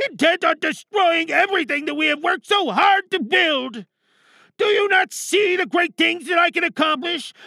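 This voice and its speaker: A man's voice: extremely high pitch, gravelly, bright, forceful tone, no room sound, pitched above the performer's own voice, heavily acted. A man playing a grandiose, paranoid villain leader who addresses his followers in theatrical, menacing oratory for an audio drama monologue. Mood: furious, menacing.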